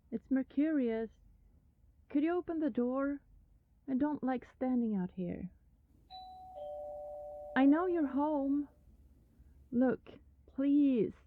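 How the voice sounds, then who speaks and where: A woman playing a lovelorn, anxious vampire neighbor in an ASMR roleplay, pleading haltingly and often slipping into breathy whispers. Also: high voice, no room sound, phone-quality sound.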